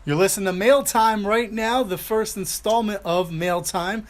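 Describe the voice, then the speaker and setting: A man's voice: high-pitched, normal speed. A man hosting a casual talk podcast, upbeat and teasing as he reads announcements and needles his co-host. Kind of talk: casual chat.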